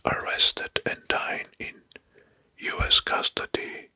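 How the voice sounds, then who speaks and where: A man narrating soft-spoken ASMR in a breathy, husky whisper, deliberately repeating names and phrases. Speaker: host of an ASMR history channel.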